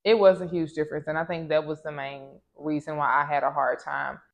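A woman of thirty from the American South, chatting to camera about a trip in a relaxed vlog, recorded at home on a lapel mic. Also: low-pitched, a little fast, vocal fry. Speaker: vlogger. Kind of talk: casual travel vlog. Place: small home room with plants.

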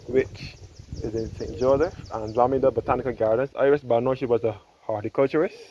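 Bajan guy doing a walking tour vlog outside, mid pitch voice, says things twice, faint birds and noise behind him. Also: steady tone, brisk, mellow. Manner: repeats and restates phrases as he explains. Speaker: travel vlogger giving a walking tour. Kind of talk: informal guided tour narration. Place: outdoors in a churchyard on a cliff. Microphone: handheld phone camera, held by the speaker. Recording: dull lo-fi outdoor phone audio, background noise.

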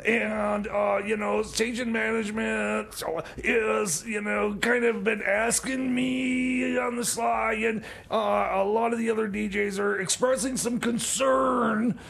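A man's voice: high voice, fairly even intonation, takes it slowly, gravelly, fairly bright tone, heavily acted. A man playing a nagging, hesitant time-machine mechanic sidekick, stammering and repeating words in comedy radio banter. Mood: awkwardly critical.

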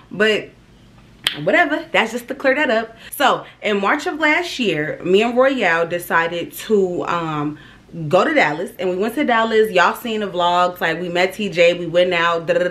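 A young woman in her twenties from Ohio, telling her story to viewers in a casual, animated vlog and calling them "y'all". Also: fairly low pitch. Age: 20s. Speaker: vlogger. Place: small indoor room.

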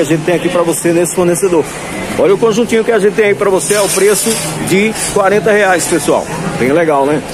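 A man filming a sales vlog through a busy clothing market, pitching goods in upbeat patter and repeating prices. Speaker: clothing wholesale vlogger. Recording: noisy crowded market. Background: crowd chatter.

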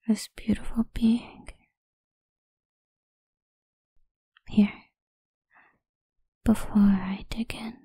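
A woman playing a possessive, seductive goth vampire idol in an intimate, breathy ASMR whisper, close to the microphone. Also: medium pitch, dark tone, dry recording, heavily acted. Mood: possessive, hungry.

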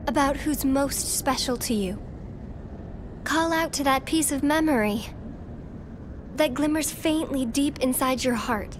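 An actress playing a gentle, sorrowful young girl in a video game, soft-spoken and pleading. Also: sits high. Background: game score.

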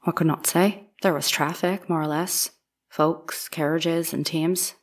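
A woman's voice: deep voice, fairly even intonation, unhurried, breathy edge. A woman playing a plain, guarded young housemaid giving terse, deferential testimony in a courtroom drama. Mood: guarded, matter-of-fact. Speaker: actor reading a trial witness. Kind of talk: dramatic reading of courtroom transcript.

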